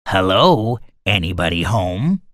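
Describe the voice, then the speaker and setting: An actor playing a sly, menacing, hungry big bad wolf in a children's animated story. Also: male voice, sits low, slow pace, a little hiss or hum, heavily acted.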